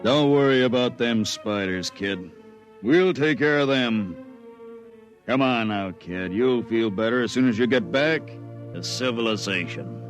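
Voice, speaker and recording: An actor playing a brusque, contemptuous military officer in a 1950s radio drama, with a commanding delivery. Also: male voice, mid-range voice, lively intonation, a little slow, faint music behind, close to an everyday voice. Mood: gruffly dismissive. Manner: barking, commanding. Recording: noisy vintage radio recording.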